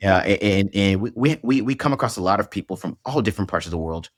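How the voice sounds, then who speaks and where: A man's voice: on the low side, animated, fast talker, dry recording, clean studio sound. A man hosting a podcast interview, encouraging and upbeat, often repeating words as he starts a thought. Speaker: podcast host.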